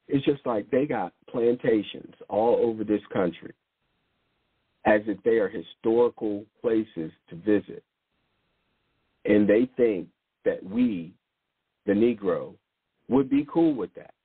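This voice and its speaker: Husky man preaching over a tinny line. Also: on the low side, slow, pauses often, dry recording. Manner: rambling, repeats words and restarts, blunt slang. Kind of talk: informal preaching monologue. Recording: tinny phone-like sound, dry.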